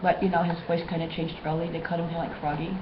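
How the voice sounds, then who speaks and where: Hoarse woman, lowish voice, rambling webcam monologue, muffled audio, steady hiss behind. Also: fast talker. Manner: rambling, repetitive, many restarts. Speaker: vlogger. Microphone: webcam mic.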